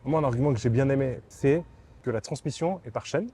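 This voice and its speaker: Chatty male interviewer, mellow voice. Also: medium pitch, fairly quick, slight background noise. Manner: chatty, enthusiastic, informal. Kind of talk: casual interview chat.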